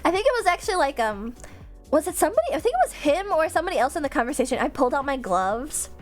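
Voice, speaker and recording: High voiced girl streamer, woman w a stuffy nose, rambly slangy chat w viewers, some music behind. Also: big pitch swings, fast talker. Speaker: streamer, VTuber. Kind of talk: casual livestream chat with viewers.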